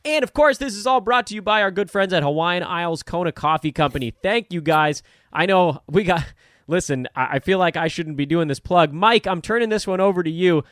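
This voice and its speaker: Smooth-voiced upbeat male podcast host. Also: high-pitched, fairly quick, a bit bright, dry recording, studio quality. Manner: upbeat, reads sponsor plugs and hands off questions. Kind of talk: casual podcast chat.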